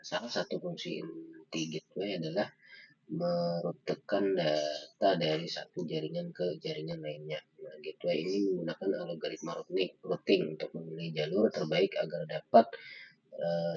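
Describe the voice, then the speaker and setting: A young man giving a halting student slide presentation, pausing often on fillers. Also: low voice, steady tone, darkish tone. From Indonesia. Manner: halting, with frequent filler pauses. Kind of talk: slide presentation of an article review. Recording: dull lo-fi microphone sound.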